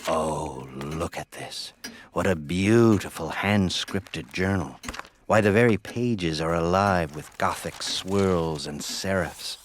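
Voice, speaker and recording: Very deep, slow theatrical horror host. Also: male voice, background noise. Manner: slow, deliberate, playfully ominous. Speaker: host and narrator of an audio horror anthology. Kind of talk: theatrical scripted horror introduction and narration. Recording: voice over prominent music.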